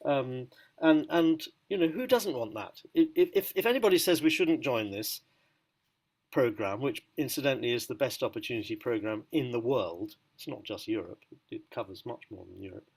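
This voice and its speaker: A man's voice: medium pitch, expressive, normal speed, vocal fry, slightly rough. A man speaking enthusiastically and persuasively on an online panel. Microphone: video call.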